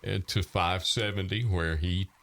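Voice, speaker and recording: A man's voice: low-pitched, steady tone, unhurried, fairly bright tone. A man, chatting casually and reminiscing in a folksy, emphatic way. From rural southern US, grew up on a farm. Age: 60s. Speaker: radio veteran and podcast host.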